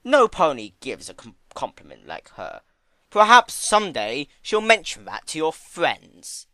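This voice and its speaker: A man's voice: a little high, wildly swooping pitch, a little slow, bright, forceful tone, no room sound, voice pushed up, full character voice. A man voicing a sardonic, pompous male trickster creature in a dramatic storybook reading, with a dry, mocking manner. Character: sardonic, theatrical male draconequus, chaotic trickster. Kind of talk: dramatic fan fiction reading.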